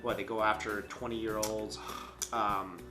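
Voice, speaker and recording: A man's voice: fairly low pitch, level tone, unhurried, music in the background. A young man co-hosting a casual chat, giving opinions earnestly and repeating phrases as he reasons. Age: young adult. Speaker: co-host of a news chat show. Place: small furnished room indoors, on a couch.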